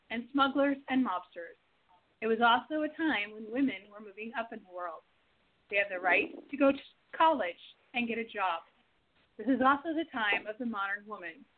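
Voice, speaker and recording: A woman reading scripted narration and stage settings for a radio play. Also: on the high side. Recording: tinny narrow-band phone-like audio.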